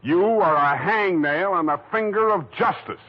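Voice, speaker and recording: Cynical private eye, American accent, deadpan wisecracks, 1940s radio noir, dull vintage sound, prominent music. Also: male voice, high voice, unhurried. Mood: biting sarcasm. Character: wisecracking, cynical hardboiled private detective narrating his case. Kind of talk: 1940s radio detective drama acting. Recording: muffled vintage radio recording, loud music.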